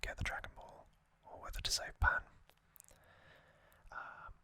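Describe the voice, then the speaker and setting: A man hosting ASMR, explaining trivia informally in a soft, unhurried, breathy whisper. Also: no room sound. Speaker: ASMR video host.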